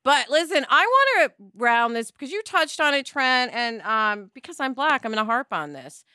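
A woman's voice: fairly high pitch, expressive, normal speed, bright, forceful tone, clear, resonant tone, no echo, studio quality. A woman hosting a podcast, talking casually in an emphatic, candid way. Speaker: podcast host.

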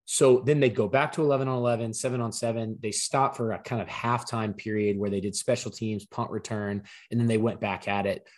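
Slightly hoarse man co-hosting a podcast. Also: fairly low pitch, fairly even intonation, a little fast, hardly any pauses. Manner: conversational, explains in detail, easygoing. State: partly lost voice, slightly hoarse. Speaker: podcast co-host. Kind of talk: casual sports podcast chat.

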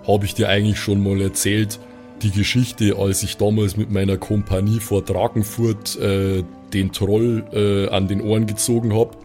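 A man with a southern German accent playing a gruff, easygoing, beer-loving old soldier in an improvised role-play, rambling and folksy. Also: lowish voice, flat delivery, normal speed, warm tone, soft background music, lightly acted. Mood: cosy, relaxed.

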